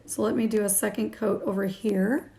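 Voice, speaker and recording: A woman in her thirties with a slightly raspy morning voice, giving a casual, chatty product review to camera into a phone at home. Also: mid-range voice, fairly even intonation, normal speed, dark tone, a little hiss or hum. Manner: chatty, informal, talking while applying makeup. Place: small room at home, indoors. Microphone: phone at arm's length.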